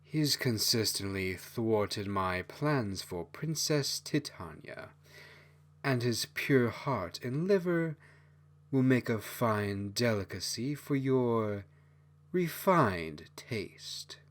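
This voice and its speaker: A man voicing a sinister, commanding dark mage villain with measured, formal speech in a fantasy audiobook. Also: medium pitch, lively intonation. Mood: menacing.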